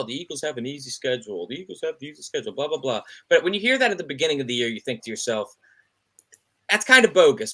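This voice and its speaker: A man co-hosting a sports podcast, chatting casually and excitably about his team. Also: medium pitch. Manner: excitable, animated, says "bro" and "man". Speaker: podcast co-host.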